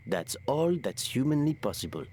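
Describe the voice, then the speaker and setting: A man's voice: medium pitch, very animated, moderate pace, darkish tone, slight background noise, close to an everyday voice. A man voicing a kindly, philosophical restaurant worker in a video game, earnest and reassuring.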